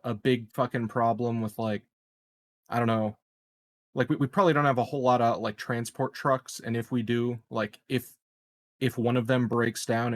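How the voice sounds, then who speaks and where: A man playing a tabletop game, rambling in casual chat with lots of "like" and "you know" and some swearing. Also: mid-range voice. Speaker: player.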